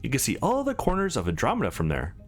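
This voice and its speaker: Man voicing an upbeat, animated tour guide. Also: medium pitch, fast, soft background music. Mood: helpful, upbeat. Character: courteous, upbeat space station attraction guide.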